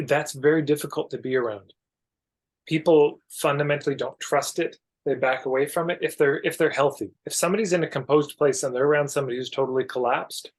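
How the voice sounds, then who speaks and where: A man speaking as a podcast guest, explaining ideas reflectively in an emphatic but measured conversational way. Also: medium pitch.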